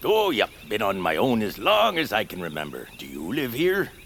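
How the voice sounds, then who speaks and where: An actor playing an eccentric, socially awkward bearded hermit creature in a family audio drama, rambling and friendly. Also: male voice, lowish voice, wildly swooping pitch, moderate pace, creaky, a bit bright, slight background noise, heavily acted. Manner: rambling, muttering, quirky. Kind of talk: family audio fiction character acting.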